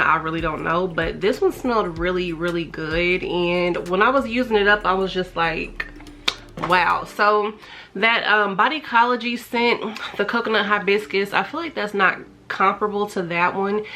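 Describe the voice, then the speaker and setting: A woman in her thirties, a little hoarse and congested from a cold, chatting on camera as she reviews body creams, filmed in a small bedroom on a compact camera's built-in mic. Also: medium pitch, sharp tone. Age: 30s. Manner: chatty, enthusiastic about scents. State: congested and slightly raspy from a cold. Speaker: beauty and fragrance vlogger. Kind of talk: casual product review to camera. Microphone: compact camera's built-in mic at close range.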